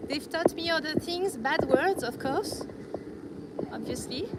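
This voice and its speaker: Brief: woman vlogger, high voice, slow and hesitant, loud city street behind. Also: animated, very noisy. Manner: hesitant, searching for words, light laughs. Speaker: live-stream vlogger. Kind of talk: casual live-stream walking tour. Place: outdoors on busy city streets.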